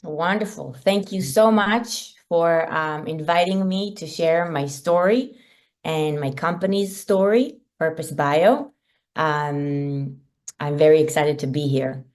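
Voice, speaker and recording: A middle-aged woman with an Israeli accent tells her own story in an online talk. Her voice sits on the low side for a woman. She speaks earnestly and pauses often with ums and uhs. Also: a little mellow. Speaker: company founder and guest speaker. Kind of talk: webinar talk.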